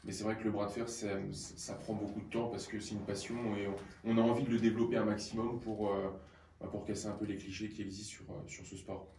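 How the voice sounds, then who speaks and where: A man gives conversational answers as a guest athlete on radio. His voice is fairly low, and the sound is roomy and a bit distant from the microphone. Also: little pitch movement, quick pace, warm tone, slight background noise. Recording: roomy, slightly distant mic.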